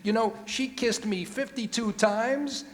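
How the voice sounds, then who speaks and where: A man's voice: very high voice, measured pace. A man playing a nonchalant bandleader guest in a 1940s radio comedy, casual and offhand with wisecracks. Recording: echoey hall, background noise.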